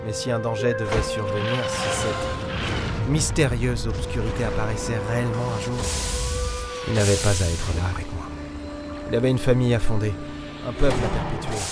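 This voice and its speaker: A man's voice: lowish voice, fairly even intonation, moderate pace, natural voice. A man playing a world-weary, bitter starship captain in an audio drama, speaking in short, weighty phrases. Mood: sombre, wistful. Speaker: lead character and narrator. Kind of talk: dramatic audio fiction narration. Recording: music behind the voice.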